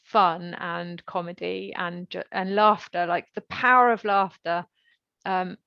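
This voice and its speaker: A woman podcast host chats informally and reflectively, with a noticeably creaky voice at an average female pitch. The recording is dry and close, with a slightly dull, lo-fi finish. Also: steady tone, measured pace, dry recording. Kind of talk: casual conversational interview.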